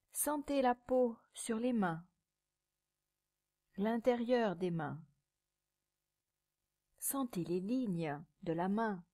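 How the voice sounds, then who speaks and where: Slow, soft woman guiding meditation. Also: mid-range voice. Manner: calm, measured, soothing, with pauses between short phrases. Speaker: yoga nidra teacher. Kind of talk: guided meditation.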